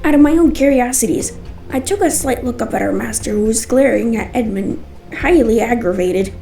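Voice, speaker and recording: High, mellow-voiced woman narrator. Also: moderate pace, creaky, recorded from a distance. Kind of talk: scripted first-person story narration. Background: music.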